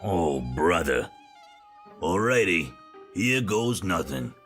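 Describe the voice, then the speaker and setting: An actor playing a gruff, weary but loyal cab driver in a cartoon adventure game, grudging and exaggerated, with music underneath. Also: male voice, deep voice, big pitch swings, takes it slowly, a bit bright, heavily acted.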